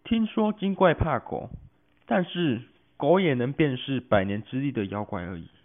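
A man's voice: medium pitch, lively intonation, normal speed, narrow, tinny audio, natural voice. Man playing a shrewd demon-hunting official, swooping intonation. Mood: knowing, certain. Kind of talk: amateur radio drama acting.

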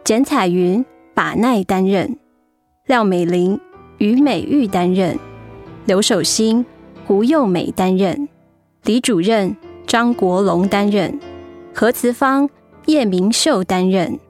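A woman's voice: medium pitch, lively intonation, slow, darkish tone. A woman reading a scripted announcement as a radio drama announcer. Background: faint music.

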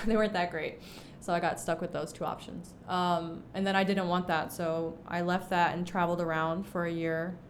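Chatty young woman with faint rumble. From Egypt. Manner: chatty, laughing, lots of fillers like "like" and "um". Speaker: podcast guest. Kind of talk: casual interview chat.

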